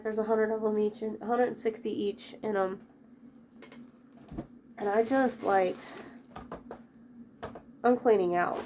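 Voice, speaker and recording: A woman's voice: mid-range voice, a little mellow, slight background noise. A woman with a head cold chatting casually while she tidies up her bedroom, rambling and breaking off mid-thought. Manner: rambling, chatty, self-interrupting. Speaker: vlogger. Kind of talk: casual chat while tidying. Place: bedroom at home.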